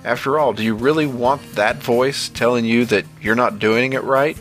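A man's voice: mid-range voice, moderate pace, fairly bright tone, faint music behind. A man reading scripted narration as the host and narrator of a horror fiction podcast.

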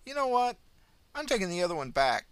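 Male narrator doing a high cackling granny, playful and sly. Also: big pitch swings, a little fast, smooth, dry recording. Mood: mischievous. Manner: high, sing-song, cackling. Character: mischievous alien in an old woman's body.

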